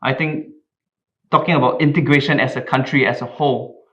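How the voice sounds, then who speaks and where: A man's voice: medium pitch, fairly even intonation, fairly quick, pushed and bright. A man speaking as a panel guest, telling personal stories casually. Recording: slightly dull, muffled sound.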